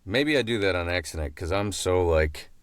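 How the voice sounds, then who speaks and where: A man's voice: low-pitched, animated, moderate pace, clear, resonant tone, no echo. A man hosting a podcast in casual, joking, self-deprecating chat. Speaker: podcast host.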